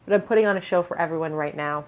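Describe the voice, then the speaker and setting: A woman giving a pick-a-card tarot reading in a musing tone, her voice a little hoarse and scratchy, often clearing her throat. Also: low-pitched, very fast, dull audio. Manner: musing, hedges with "I feel". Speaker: tarot reader.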